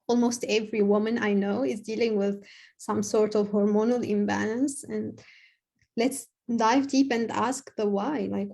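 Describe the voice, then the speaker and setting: A woman in her thirties from Tunisia talking as an interview guest on a video call, earnest, with halting phrasing. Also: mid-range voice, dark tone. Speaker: health coach, podcast guest. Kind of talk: conversational interview. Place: home room, indoors.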